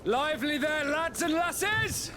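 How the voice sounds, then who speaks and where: A man playing a formal, stern middle-aged ship's captain with a measured, commanding way of speaking in a video game. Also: extremely high pitch, animated, noisy background, exaggerated voice. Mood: barking orders. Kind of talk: video game dialogue acting.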